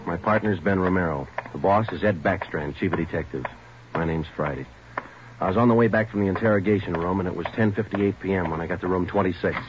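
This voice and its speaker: Terse detective sergeant, male actor, deep and brisk, clipped delivery, old-time radio crime drama with dull sound. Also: noisy background, lightly acted. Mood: flat, businesslike. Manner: clipped, deadpan. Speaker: narrator and lead actor.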